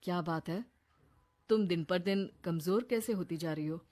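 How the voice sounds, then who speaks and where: Man acting a quiet, mild young husband. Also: high voice, normal speed, lightly acted. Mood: concerned. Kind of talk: acted Hindi cartoon family story.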